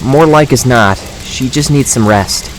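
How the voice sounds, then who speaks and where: Guy acting a protective young guide in an audio drama, middle pitched, reassuring and practical, faint noise behind. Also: unhurried, lightly acted, poor audio. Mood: easygoing.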